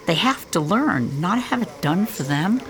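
Woman playing skeptical expedition colleague, gravelly fry, dry, questioning, principled, radio drama, noisy background. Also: on the low side, big pitch swings, unhurried, fairly bright tone, full character voice. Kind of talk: science fiction radio drama acting.

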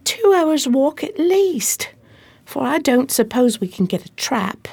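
A woman playing a loyal, long-suffering detective's assistant, narrating and acting in a slightly prim, expressive radio play style. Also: high voice, slow, creaky, heavily acted.

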